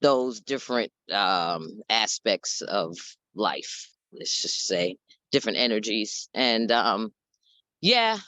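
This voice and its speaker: A woman with a deep voice and a New York accent, sharing plainly and reflectively in a group video call. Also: big pitch swings, takes it slowly, sharp tone, dry recording. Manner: straightforward, reflective, laughs between thoughts. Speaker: group participant.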